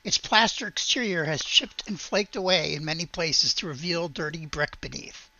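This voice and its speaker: A woman with a slightly husky, gravelly voice running a tabletop roleplaying game as game master, moving between casual talk and read-aloud narration. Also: on the low side, moderate pace, bright, forceful tone, no echo, lo-fi sound.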